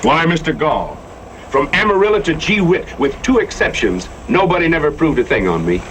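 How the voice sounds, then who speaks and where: Casting: sly American hired gun, Old West radio play. Highish voice, very animated, folksy mock-innocent drawl, faint noise on the recording. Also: male voice, normal speed, low-quality recording. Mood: sly, amused. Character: cocky, taunting hired gunslinger in the Old West. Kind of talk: Western radio drama acting.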